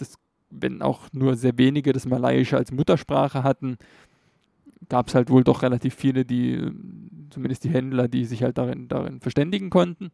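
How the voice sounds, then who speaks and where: Gravelly-voiced man explaining casually. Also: mid-range voice, dark tone, no echo, clean studio sound. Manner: loose explaining with fillers and self-corrections. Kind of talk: casual educational talk.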